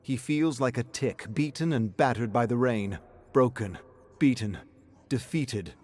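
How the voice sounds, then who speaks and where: A man reading a dramatic scripted story as narrator, in a deliberate, emotive way. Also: medium pitch, darkish tone. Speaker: narrator of game lore.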